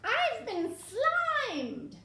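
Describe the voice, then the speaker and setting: Squabbling picture-book witch voiced by a woman, shrilly high and animated, with drawn-out exclamations. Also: heavily acted. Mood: indignant. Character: excitable, squabbling storybook witches on parade. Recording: roomy echo, faint background noise.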